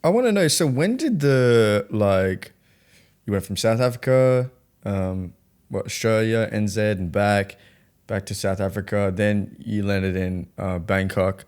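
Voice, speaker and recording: A man's voice: lowish voice, a little slow, a little mellow, clean tone. A man co-hosting a podcast, asking easygoing, rambling questions about the guest's journey. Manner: easygoing, laughs and jokes while asking questions. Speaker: podcast co-host. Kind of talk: casual interview questions.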